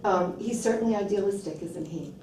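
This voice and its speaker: A woman film critic leads an interview, asking curious questions and affirming answers often. Her voice is mellow and mid-pitched. The recording is echoey and distant, with faint background hiss. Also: a little fast. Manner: curious, warm, frequent affirmations. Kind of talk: interview conversation. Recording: roomy, distant, faint noise.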